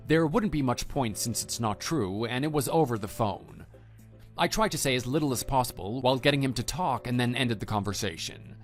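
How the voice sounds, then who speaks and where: A man playing a calm, matter-of-fact husband and former teacher in a scripted online radio drama. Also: medium pitch, close to an everyday voice. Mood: calm, reassuring. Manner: measured, matter-of-fact, quietly dry. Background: background music.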